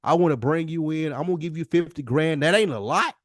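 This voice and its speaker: A man's voice: fairly high pitch, a little fast, no room sound, clean studio sound. An American man co-hosting a podcast, talking casually and candidly in an earnest, slangy way and repeating words for emphasis. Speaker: podcast co-host.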